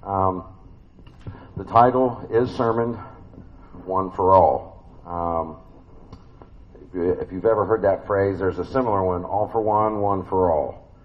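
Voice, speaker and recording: A man's voice: deep voice, a little slow, long pauses, dull audio. A man with a big voice preaching a family sermon in a church, conversational and folksy, with many fillers and restarts.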